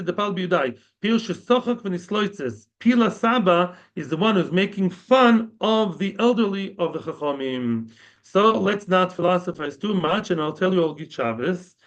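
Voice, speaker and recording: A man giving a conversational Talmud lesson, explaining terms and mixing in Hebrew and Yiddish words. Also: high-pitched. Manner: conversational, frequent "yeah" and restarts. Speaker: rabbi teaching Talmud. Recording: dull lo-fi audio.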